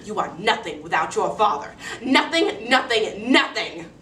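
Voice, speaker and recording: A woman's voice: mid-range voice, lively intonation, unhurried, sharp tone. A woman playing a cruel, haughty stepmother who scolds and accuses in a theatrical dramatic performance. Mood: cold, scornful. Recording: roomy, distant mic, faint noise.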